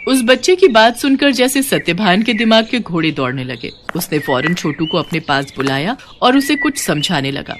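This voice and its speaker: A woman reading a scripted moral story as narrator, in an expressive storytelling manner. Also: lowish voice, slight background noise.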